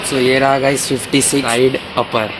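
A man's voice: mid-range voice, level tone, normal speed, over loud music, poor audio. A young man narrating a casual train travel vlog, giving facts and mixing English phrases into his talk. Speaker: travel vlogger. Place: railway station and train coach, partly voice-over. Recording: loud station and train noise behind.

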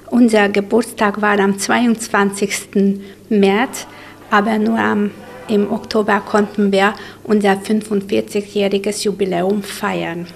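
Woman in her sixties, gravelly creaky voice, very slow pace, careful interview answers. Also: mid-range voice. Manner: slow, careful, some fillers. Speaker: choir member. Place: indoors in a room with bookshelves. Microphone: handheld reporter mic.

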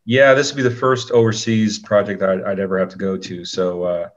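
A man with a low-key, laid-back manner, chatting casually as an interview guest. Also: little pitch movement, fairly quick, dull audio. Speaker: guest, actor.

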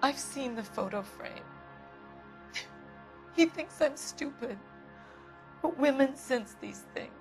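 Casting: prim, anxious American housewife, middle-aged, defensive and quick to take offence, game drama, clear music bed. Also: female voice, high-pitched, animated. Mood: bitter, anxious. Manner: defensive, easily affronted. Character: prim, anxious, indignant wife of a missing man. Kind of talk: dramatic game cutscene acting.

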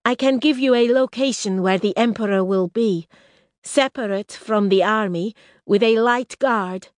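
A woman voicing a proud, demanding young princess in an audiobook reading, speaking high and forcefully. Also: a little slow, dry recording, studio quality, natural voice. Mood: insistent.

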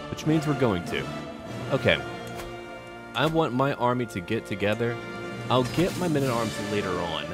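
Deep-ish guy doing theatrical game roleplay narration, music playing behind him. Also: fairly bright tone. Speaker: gaming video host. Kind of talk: scripted story narration and game commentary. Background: background music.

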